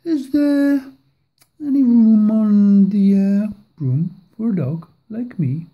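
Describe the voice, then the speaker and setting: A woman voicing a keen, polite male dog in a picture-book reading, in a lower voice. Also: slow, lo-fi sound, lightly acted. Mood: eager.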